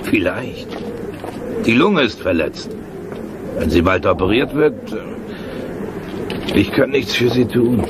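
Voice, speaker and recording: An actor playing a grave, subdued medic in a war radio drama. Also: male voice, fairly low pitch, animated, takes it slowly, long pauses, noisy background.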